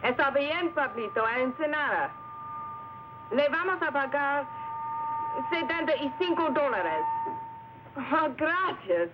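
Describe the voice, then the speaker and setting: A woman's voice: high voice, lively intonation, a little slow, long pauses, noisy background. A woman playing a cool, polite fugitive in an old-time radio drama. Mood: businesslike. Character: cool, polite woman on the run, confessed killer. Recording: tinny old radio recording.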